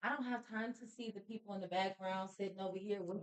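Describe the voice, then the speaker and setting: A confident woman talks quickly and with emphasis, speaking as a guest in a relaxed faith conversation. Her pitch sits in an everyday middle range. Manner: confident, emphatic, fast-talking. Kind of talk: casual faith talk.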